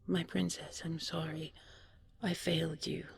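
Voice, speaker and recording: Woman voicing a hushed, low, despairing young hero. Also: level tone, unhurried, gravelly, slight background noise, exaggerated voice. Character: despairing, guilt-ridden youthful storybook hero, devoted lover.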